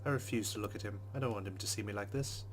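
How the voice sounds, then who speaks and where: A man's voice: fairly low pitch, rapid-fire, a little hiss or hum. A man reading a visual novel aloud as a let's player, slipping between narration and casual commentary.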